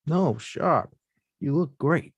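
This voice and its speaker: A man's voice: fairly high pitch, animated, slow, breathy edge, no room sound, heavily acted. A man reading the part of a humble, plainspoken young male boxer at a table read. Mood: easygoing. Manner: plainspoken, laid-back. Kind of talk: live online table read.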